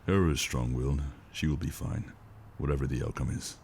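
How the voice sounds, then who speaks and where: A man acting a stoic, weary operative and father in an audio drama, speaking in a measured, resigned way. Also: very deep voice, a little mellow, a little hiss or hum. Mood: measured, reassuring.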